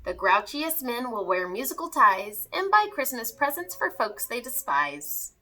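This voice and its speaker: A woman reading a rhyming children's story aloud in an animated, theatrical way. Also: on the high side, a little slow, poor audio. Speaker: teacher reading a picture book aloud to children.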